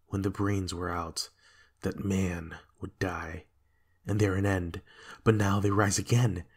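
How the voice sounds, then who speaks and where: A man acts out a haunted Shakespearean king in a dramatic reading. His voice is low and husky, and the verse comes slowly, with a brooding, fearful, raging temper. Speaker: amateur reader in a dramatic reading.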